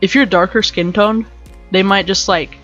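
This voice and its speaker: A young woman with a deep voice hosting a casual motivational rant podcast, rambling and joking as she goes. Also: fairly even intonation, normal speed, a bit bright, highs cut off, faint music behind. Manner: rambling, joking, self-interrupting. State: slightly sick with allergies. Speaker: podcast host.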